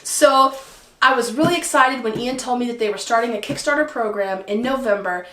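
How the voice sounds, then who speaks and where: Woman, hoarse voice, slightly high, chatty and excitable, roomy home recording. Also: brisk. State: hoarse from illness, throat clearing. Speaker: photography vlogger. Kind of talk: casual vlog talk to camera. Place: living room at home by a patio door.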